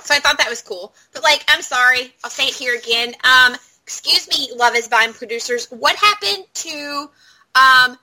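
A woman's voice: high voice, moderate pace, pushed and bright, poor audio. A woman in her thirties chatting casually as a podcast co-host, telling stories dramatically and swearing freely. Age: 30s. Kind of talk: casual chat, animated storytelling.

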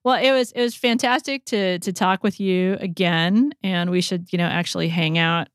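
A young adult woman co-hosting a casual podcast, chatty and quick to laugh, often trailing off mid-sentence. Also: lowish voice, steady tone, no echo, studio quality. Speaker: podcast co-host.

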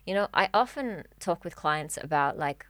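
A woman's voice: deep voice, lively intonation, normal speed, no room sound. A woman life coach speaking as a podcast guest in an earnest, encouraging way, often restarting her phrases. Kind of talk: casual podcast chat.

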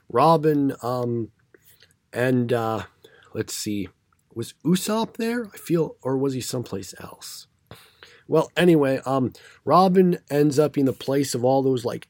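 Hoarse man recapping episodes, halting. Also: medium pitch, darkish tone, no room sound, studio quality. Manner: halting, many fillers and restarts. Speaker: podcast co-host. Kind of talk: casual chat recapping episodes.

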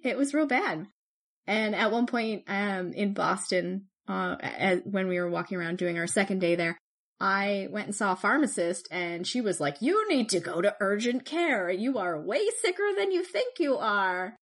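A woman co-hosting a podcast, telling stories in an animated, chatty way. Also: medium pitch, moderate pace. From Canada. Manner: chatty, animated storytelling with laughs. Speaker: podcast co-host. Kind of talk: casual chat.

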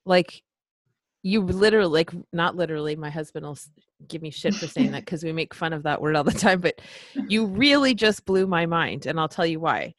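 A woman hosting a podcast, chatty and excitable, casually swearing as she talks. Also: sits low, brisk, no echo. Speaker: podcast host. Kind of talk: casual interview chat.

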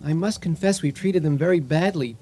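A man's voice: on the high side, a little fast, warm tone, slight background noise, close to an everyday voice. An actor playing a polite, apologetic, slightly uneasy Earth man in a comic science fiction radio play. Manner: courteous, apologetic, formal.

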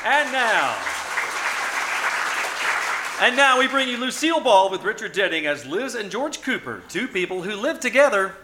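A man's voice: high-pitched, sing-song, normal speed, pauses often, background noise. A man announcing and narrating a scripted 1940s-style radio show. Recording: live show with loud music and crowd. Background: loud music and audience.

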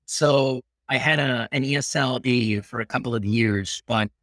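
A man's voice: medium pitch, moderate pace, fairly bright tone, no room sound. A man in his thirties, born in Peru, talking earnestly as a guest in a casual interview and sometimes slipping into Spanish. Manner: reflective and earnest, slips into Spanish.

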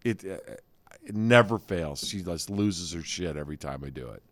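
Very low-voiced rambling storyteller man. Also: animated, moderate pace, fairly bright tone, dry recording. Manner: rambling storyteller, fond and nostalgic. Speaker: podcast co-host. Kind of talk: casual chat.

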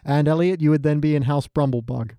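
A man's voice: fairly high pitch, brisk, warm tone, no room sound, studio quality. A man co-hosting a podcast in casual chat, with a joking, flippant manner. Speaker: podcast co-host.

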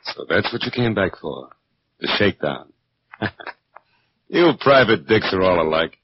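Deep-voiced, sneering 1940s radio crook. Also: male voice, very animated, a little slow, slightly rough, no room sound, heavily acted. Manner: hard-boiled, sneering. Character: menacing, suspicious male crook posing as house guest. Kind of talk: 1940s radio drama acting. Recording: dull, noisy 1940s radio broadcast.

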